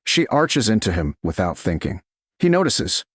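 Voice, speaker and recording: Male audiobook narrator for romance: low register, lively intonation, measured dramatic phrasing. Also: husky, no room sound, studio quality. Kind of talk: scripted romance story narration.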